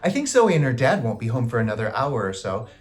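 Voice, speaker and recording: A man's voice: medium pitch, very animated, fast talker, faint music behind, natural voice. A man voicing a kind, helpful mother in a children's storybook reading. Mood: friendly, helpful.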